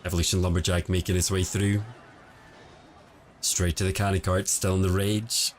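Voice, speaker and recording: A man streaming casual commentary on a mobile game match, quiet and relaxed, answering chat between calls of the play. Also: moderate pace, slight background noise. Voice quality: quiet, low-level voice. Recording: home stream audio with faint music behind. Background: faint background music.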